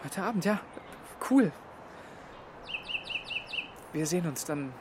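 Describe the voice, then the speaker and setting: An actor playing a shy, hopeful teenage skater boy who speaks haltingly in a German audio drama. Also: on the high side, animated, a little mellow, slight background noise. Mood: nervous, hopeful. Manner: hesitant, halting.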